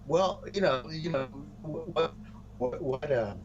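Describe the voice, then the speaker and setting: A man co-hosting a casual wrestling storyline chat, speaking haltingly and often repeating words as he restarts phrases. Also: a little high. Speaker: co-host of a wrestling podcast. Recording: muffled, noisy room recording.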